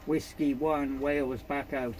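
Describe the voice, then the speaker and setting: A man's voice: on the high side, flat delivery, measured pace, very noisy. A man working a CB radio, politely exchanging call signs in a procedural, repetitive way. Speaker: amateur CB radio operator.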